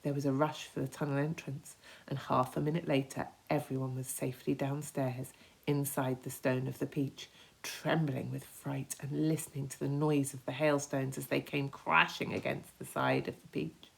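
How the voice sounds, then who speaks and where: Low-pitched woman narrating a children's story. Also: normal speed, vocal fry, husky, low-quality recording. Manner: expressive, dramatic emphasis. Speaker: teacher reading aloud.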